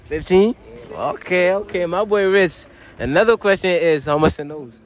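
A man's voice: high-pitched, normal speed, very noisy. A young man hosting a casual street interview with heavy slang, hyped and repeating his phrases. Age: young adult. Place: outdoors on a residential street and parking lot. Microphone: handheld mic. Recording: outdoor street recording, faint music behind.

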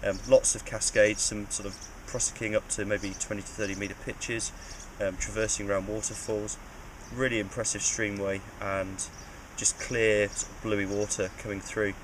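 A man's voice: fairly low pitch, flat delivery, normal speed, hardly any pauses, background noise. A man describing a cave passage, hesitant and repeating his words. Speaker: cave diver. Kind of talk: interview recap.

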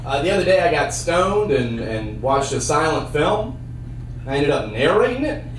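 A young man doing a stand-up comedy set with a handheld mic on a theater stage, trading dry one-liners for sudden loud bursts. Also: a little high, very animated, normal speed, a little hiss or hum. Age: young adult. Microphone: handheld mic on a cord. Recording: roomy sound from a far camera.

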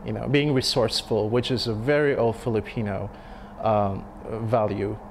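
A man in his thirties, a restaurant chef, speaking in a sit-down interview, thoughtful and halting with many fillers and restarts. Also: lowish voice, fairly even intonation, a little hiss or hum. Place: dim restaurant dining room. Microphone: lapel mic.

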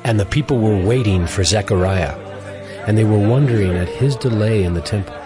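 A man narrates scripture for an audio drama. His low voice is measured and solemn, set over clearly audible music.

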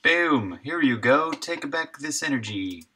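A man's voice: medium pitch, lively intonation, a little slow, bright, forceful tone, soft background music. A middle-aged man thinking aloud in short, laid-back fragments as he live-streams his coding work. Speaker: game developer and live streamer. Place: indoor room at a desk, streaming while coding.

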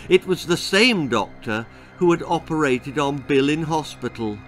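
A man's voice: fairly high pitch, animated, unhurried, a bit bright, faint music behind. A British man in his sixties reading a children's story aloud with dramatic narration. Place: small indoor room lined with bookshelves.

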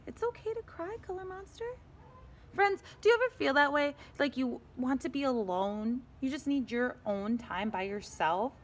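A woman teacher reading a picture book aloud to young children in an expressive way, often turning to them with asides and questions. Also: sits high. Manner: expressive, slow and deliberate, addressing young children.

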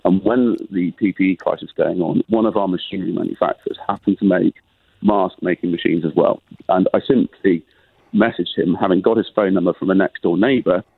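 A low, gravelly British man on a tinny, dry phone line, talking defensively and insistently. He talks over interruptions and keeps pushing to finish his point, husky and a little rough around the edges. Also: fairly even intonation, fairly quick, dry recording. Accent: British English. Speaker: caller. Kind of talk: phone-in call defending himself.

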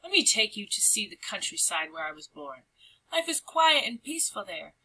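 A woman's voice: medium pitch, very animated, moderate pace, sharp tone, slightly rough, exaggerated voice, low-quality recording. A woman voicing an enthusiastic, dreamy male town mouse in a storybook, savouring each phrase. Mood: longing.

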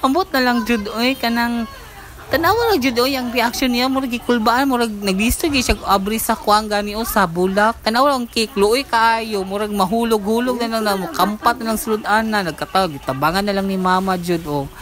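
A woman's voice: fairly high pitch, a little fast. A woman with a Davao Cebuano accent giving a chatty, teasing voice-over for a family vlog, often ending lines with tag questions. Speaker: vlogger. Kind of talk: casual voice-over commentary. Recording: voice-over under loud music.

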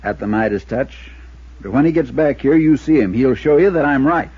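An American actor playing an exasperated, folksy small-town insurance agent in a 1950s radio drama. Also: male voice, medium pitch, expressive, normal speed, slightly rough, no room sound. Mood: insistent, exasperated. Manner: folksy, insistent. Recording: dull, dry 1950s radio recording.

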